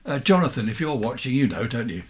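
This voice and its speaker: A man in his seventies with a southern English accent and a husky voice, giving a chatty, rambling, unscripted show-and-tell as a hobbyist, often questioning himself. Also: medium pitch, big pitch swings, quick pace. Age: 70s. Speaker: amateur radio hobbyist presenting vintage equipment.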